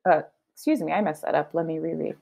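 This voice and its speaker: A woman teacher giving a children's read-aloud lesson, explaining her thinking in an expressive, conversational way. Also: deep voice, fast talker, gravelly. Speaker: elementary teacher.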